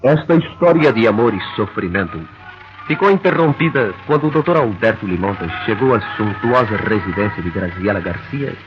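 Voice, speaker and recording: A man's voice: lowish voice, animated, moderate pace, natural voice. Dramatic old radio-soap narrator, acted by a man, dull recording. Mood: solemn, dramatic. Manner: dramatic, declamatory. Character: solemn male narrator of an old radio melodrama. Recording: dull vintage radio recording with loud music.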